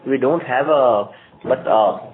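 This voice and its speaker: A man speaking as a company executive, answering analysts' questions off the cuff, halting and repeating his words. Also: mid-range voice, narrow, tinny audio. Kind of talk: unscripted earnings call Q&A. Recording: noisy phone conference line.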